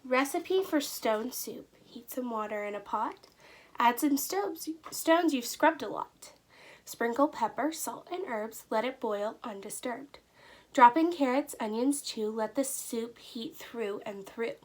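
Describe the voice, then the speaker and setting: A woman narrating a children's storybook aloud with expressive, deliberate storytelling. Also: a little high. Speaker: teacher reading aloud.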